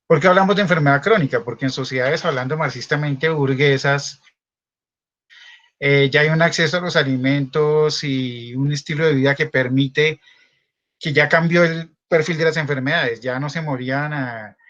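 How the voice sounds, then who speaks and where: A man's voice: fairly high pitch, normal speed, a bit bright. A man asking a question in an academic seminar, speaking earnestly and searching for words, over a video call. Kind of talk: academic discussion. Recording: dull, lo-fi call audio.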